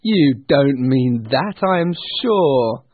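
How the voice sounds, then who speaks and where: A man voicing a cheerful, earnest young gentleman in a storybook, hearty and pleading. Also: mid-range voice, lively intonation, slow pace, no echo, voice pushed up. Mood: cheerful, surprised. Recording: thin, phone-like narrow-band sound.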